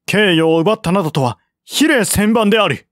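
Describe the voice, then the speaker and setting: A man's voice: high-pitched, animated, normal speed, fairly bright tone, no room sound, studio quality, pitched above the performer's own voice. A man reading the part of a proud, loyal general of a fallen dynasty, with stern, formal declamation. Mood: indignant, proud.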